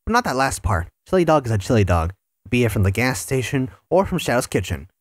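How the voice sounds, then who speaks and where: A man's voice: mid-range voice, very animated, fast talker, no echo, studio quality. A man voicing a cocky, sarcastic young male hedgehog in a fan audio drama, playful in tone.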